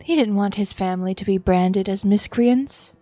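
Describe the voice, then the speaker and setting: A woman gives a dramatic, expressive narration of a visual novel as a streamer. Her voice is mid-pitched and recorded close and dry, with music playing softly behind it. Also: moderate pace, no echo.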